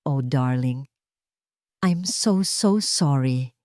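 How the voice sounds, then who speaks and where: A woman's voice: very deep voice, very slow, a little mellow, no echo, studio quality, pitched below the performer's own voice, full character voice. Woman voicing a cold, scheming Filipino fiancée. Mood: false sympathy. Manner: businesslike, calculating.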